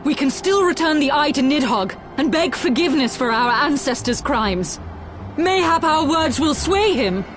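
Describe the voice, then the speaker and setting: High-voiced determined rebel woman. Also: expressive, moderate pace, noisy background. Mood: determined, pleading. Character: proud female rebel leader, determined and pleading. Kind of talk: archaic fantasy game cutscene acting.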